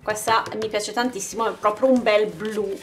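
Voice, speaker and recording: A woman with a mid-pitched voice narrates a craft unboxing in a casual, informal style. She presents things item by item as the channel's host. Music plays behind her voice. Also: fairly even intonation, normal speed. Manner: informal, showing items one by one. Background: background music.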